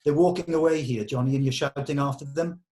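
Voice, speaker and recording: A man leading a script table read as director, giving notes in a measured, diplomatic and explanatory way. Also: medium pitch, dark tone. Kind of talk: giving notes to actors and writer.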